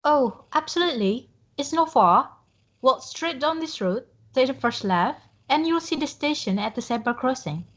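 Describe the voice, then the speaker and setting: A helpful British woman gives directions, played by a young female student in a classroom role-play. Her voice sits fairly high. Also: animated, normal speed. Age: young adult.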